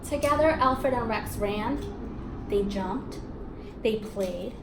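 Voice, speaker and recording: High-voiced woman teacher reading aloud slowly. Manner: expressive, prompting, repeats and affirms answers. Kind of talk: storybook read-aloud with questions to pupils. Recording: roomy echo, faint background noise.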